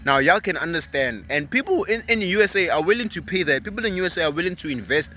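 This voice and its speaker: A young man from South Africa, a vlogger talking in an animated, emphatic and persuasive way in casual motivational chat. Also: fairly high pitch, quick pace, a little hiss or hum. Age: 20s.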